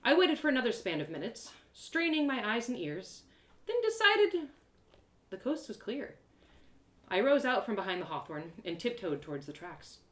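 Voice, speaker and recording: Audio drama lead: excitable young adventuress voiced by a woman, self-mocking storyteller, very animated intonation, roomy live reading. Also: fairly high pitch, moderate pace. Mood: cautious. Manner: theatrical, breathless exclamations, gasps, self-deprecating humour. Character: excitable young heroine narrating her woodland adventure.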